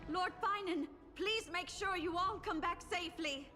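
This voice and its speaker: An earnest young well-wisher in a fantasy game. Her very high voice carries long reverb, with music clearly behind it. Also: moderate pace. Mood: hopeful. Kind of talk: video game voice acting.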